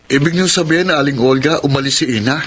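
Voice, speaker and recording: An actor playing a gentle, helpful young man in a Filipino radio drama. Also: fairly high pitch, very fast, over loud music, lightly acted. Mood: surprised.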